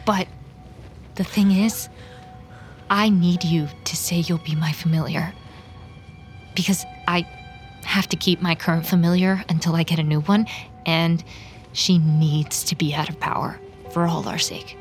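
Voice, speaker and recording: A young woman playing an intense, needy vampire in an audio drama, speaking deliberately and pleadingly. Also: fairly low pitch, fairly even intonation, faint music behind. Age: young adult. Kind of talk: naturalistic audio drama acting.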